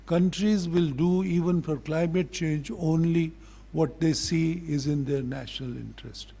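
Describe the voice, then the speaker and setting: Creaky-voiced older Pakistani man giving an address. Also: fairly high pitch, warm tone. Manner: deliberate, measured, blunt and admonishing. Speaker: ambassador, public speaker. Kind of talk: formal public address.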